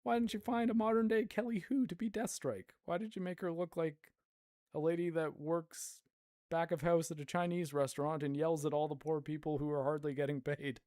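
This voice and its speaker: A man streaming a game, rambling in casual commentary with a hoarse voice and frequent laughter. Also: fairly high pitch, fairly even intonation, a little fast, darkish tone, no echo, clean studio sound. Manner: chatty and rambling, laughs often.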